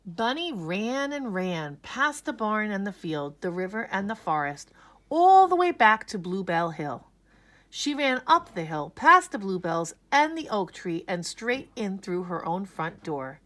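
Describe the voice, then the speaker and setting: Slow, animated woman reading a children's story. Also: mid-range voice. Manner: slow, animated, dramatic emphasis. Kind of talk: expressive children's read-aloud narration.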